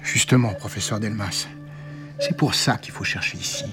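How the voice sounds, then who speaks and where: Actor as a stubborn low-voiced professor. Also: male voice, expressive, moderate pace, over music, close to an everyday voice. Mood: insistent. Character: stubborn, driven male archaeology professor. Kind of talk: audio drama dialogue.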